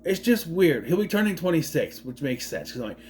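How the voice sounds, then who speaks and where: A man's voice: on the high side, big pitch swings, brisk, darkish tone, slight background noise. A man in his late thirties giving a casual movie review, rambling, swearing freely and wandering off on tangents. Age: 30s.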